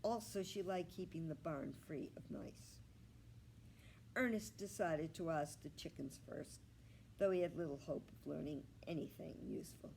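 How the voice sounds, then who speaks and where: A woman reading a children's story aloud in an expressive narrating style, as a storytime reader. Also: low voice, moderate pace, gravelly, slight background noise, low-quality recording.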